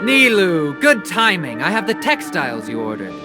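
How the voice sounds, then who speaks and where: A man voicing a jovial, smooth-talking merchant in a video game. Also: sits high, wildly swooping pitch, normal speed. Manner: jovial, salesy, storytelling. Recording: studio voice over game music.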